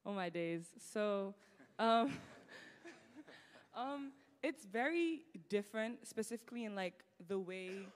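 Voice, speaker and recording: A woman's voice: medium pitch, animated, slow pace. A teenage student girl from Nigeria with a British-tinged Nigerian accent, chatting casually on a church stage into a handheld mic, full of "like" fillers. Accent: British-tinged Nigerian English. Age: teens. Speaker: student guest. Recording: long reverb of a large hall.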